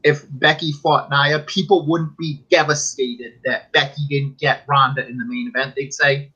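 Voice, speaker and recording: A man's voice: mid-range voice, little pitch movement, moderate pace, sharp tone, husky. A man co-hosting a casual wrestling podcast, rambling and argumentative as he makes his case. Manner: rambling, argumentative, mimics fans in quotes. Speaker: podcast co-host. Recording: dull lo-fi audio.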